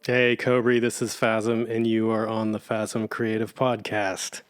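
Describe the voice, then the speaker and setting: A man's voice: on the low side, completely flat delivery, measured pace, clear, resonant tone. A man hosting a podcast, asking questions in an easygoing way with casual slang. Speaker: podcast host. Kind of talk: casual interview.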